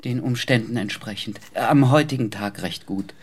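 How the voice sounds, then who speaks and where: An actor playing a composed, solicitous male companion in a radio drama, formal and measured in his speech. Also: medium pitch, a little hiss or hum, natural voice. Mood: courteous.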